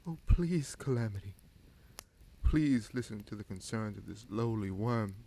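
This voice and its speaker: Man acting a low, gravelly, scheming fantasy prince. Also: expressive, mellow. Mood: mocking. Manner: menacing, sardonic, deliberate with dramatic pauses and sighs. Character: bitter, scheming bastard prince commanding a captive dragon. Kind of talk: dramatic fantasy audio roleplay addressed to the listener.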